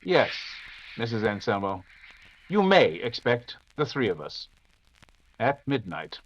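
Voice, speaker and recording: An actor playing a confident, rational gentleman detective in an old-time radio drama. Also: on the low side, big pitch swings, slow, dull audio, background noise, full character voice. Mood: resolute.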